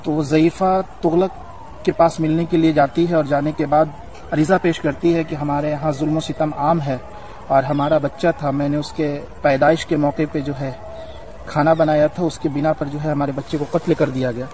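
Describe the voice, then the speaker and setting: Male religious guide, fairly high register, earnest and reverent storyteller, formal phrasing, outdoor location sound with background noise. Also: level tone, moderate pace, a little mellow. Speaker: religious guide narrating a shrine's history. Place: outdoors on a hilltop at a shrine. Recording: outdoor recording with clear ambient noise.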